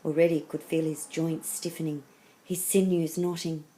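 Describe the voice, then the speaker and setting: Female storybook narrator, slightly low register, dramatic and deliberate phrasing for young listeners. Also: little pitch movement, dark tone, low-quality recording. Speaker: storybook reader. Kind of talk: expressive scripted children's story narration.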